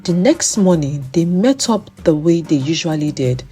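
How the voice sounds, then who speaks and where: A woman tells a children's folktale in a calm, steady storytelling voice. Her voice sits on the low side, and quiet music plays underneath. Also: moderate pace. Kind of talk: scripted folktale narration for children.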